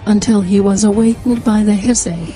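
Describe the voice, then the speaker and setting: A woman's voice: medium pitch, fairly even intonation, normal speed, dark tone, faint music behind. A woman narrating a scripted fairy tale as a storyteller.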